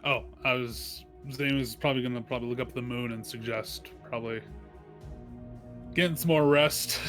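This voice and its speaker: A man of medium pitch talks casually as a player in a tabletop game. His manner is tentative and questioning, and music plays clearly behind him. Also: steady tone, normal speed, a bit bright.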